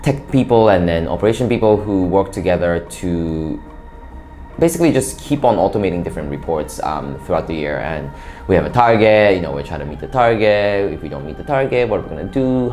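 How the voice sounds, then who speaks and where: Japanese-born man, low voice, hesitant. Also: expressive, quick pace, darkish tone, soft background music. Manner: hesitant, many fillers and restarts. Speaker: interview guest, bank operations worker. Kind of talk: casual interview answers.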